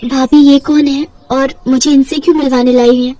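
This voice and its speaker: A woman's voice: high voice, level tone, normal speed, background noise, natural voice. A woman voicing an innocent, dutiful young unmarried sister in a moral story, meek and worried. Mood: confused, worried. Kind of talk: melodramatic moral-story voice acting.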